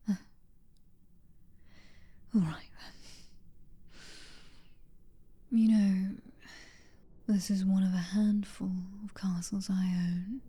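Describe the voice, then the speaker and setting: A woman with a British accent voicing a possessive, centuries-old female vampire noblewoman in a slow, intimate whispered roleplay. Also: medium pitch, warm tone, full character voice. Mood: wistful. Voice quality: breathy whisper. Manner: slow, intimate, teasing and controlling. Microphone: close microphone.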